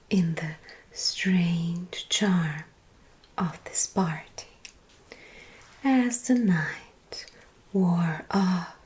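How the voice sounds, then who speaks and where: A woman playing a slow-whispering vampire storyteller. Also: mid-range voice. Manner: soft whispered, slow and teasing. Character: teasing female vampire storyteller. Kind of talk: ASMR roleplay storytelling.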